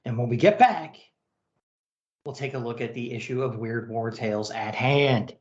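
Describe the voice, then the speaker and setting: A man's voice: medium pitch, moderate pace, background noise. A man hosting a podcast in casual, chatty style. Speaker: podcast host. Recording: slightly dull, lo-fi sound.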